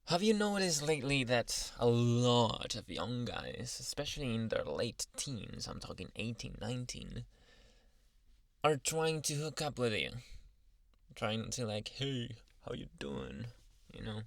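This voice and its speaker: Man acting as male alpha werewolf, gravelly fry, teasing yet polite, hesitant murmured asides, ASMR roleplay, very dry close mic. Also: mid-range voice, sing-song, dry recording. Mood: explaining, confiding. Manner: halting, breathy sighs, murmured asides and hesitations. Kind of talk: intimate ASMR boyfriend roleplay. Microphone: close, dry microphone.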